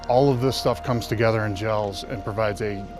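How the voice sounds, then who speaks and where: A man's voice: on the low side, fairly even intonation, normal speed, music in the background. A man in a military role explaining his work emphatically in a documentary interview. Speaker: air force communications airman.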